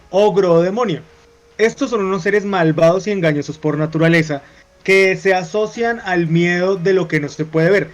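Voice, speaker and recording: A man from Colombia, co-hosting a talk show, explaining at length with drawn-out hesitations. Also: sits high. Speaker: co-host. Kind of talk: casual panel chat. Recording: dull lo-fi sound.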